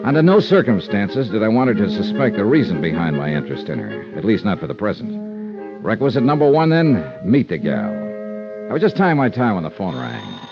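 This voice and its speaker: A hard-boiled American private investigator from vintage radio drama. His low voice swings animatedly in pitch, clipped and wry, businesslike but punchy. The recording is dull and old. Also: wildly swooping pitch, fairly quick, over music. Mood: confident narrating. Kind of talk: 1950s radio detective drama acting and narration.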